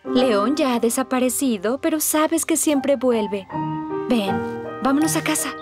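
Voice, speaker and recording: Casting: gentle comforting mum, high voice, Latin American Spanish, kids' cartoon with loud music. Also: female voice, animated, measured pace. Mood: soothing. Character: tender, reassuring adult mother. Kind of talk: children's cartoon dub.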